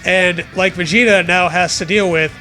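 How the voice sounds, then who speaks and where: A man's voice: high voice, steady tone, normal speed, sharp tone, soft background music. A man with a mocking, profane manner, reading fan fiction aloud for comedy and bantering casually with a co-host.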